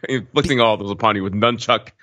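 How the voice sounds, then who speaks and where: A man's voice: fairly low pitch, fast talker, sharp tone. A man chatting casually about films as a podcast panelist, joking in a mock-grand way. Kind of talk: casual film chat.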